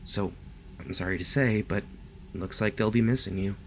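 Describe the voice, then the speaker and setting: A man playing a lovestruck, possessive kidnapper in an ASMR roleplay, speaking softly and haltingly to the listener, sometimes close to a whisper. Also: fairly low pitch, a little hiss or hum, lightly acted. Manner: soft, gentle, halting, sometimes dropping to a whisper. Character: lovestruck male yandere kidnapper, doting, possessive, awkwardly reassuring.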